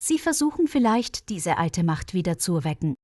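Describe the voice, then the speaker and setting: A woman's voice: on the low side, big pitch swings, moderate pace, a little mellow, no room sound, clean studio sound. Brave, earnest boy hero, read by a woman. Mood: pondering. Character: brave, curious boy hero facing a cult.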